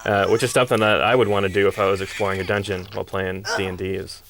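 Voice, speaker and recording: A man giving casual commentary as an animation writer, talking in a halting, rambling way. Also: sits low, little pitch movement, crowd noise. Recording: busy voices and cartoon audio behind.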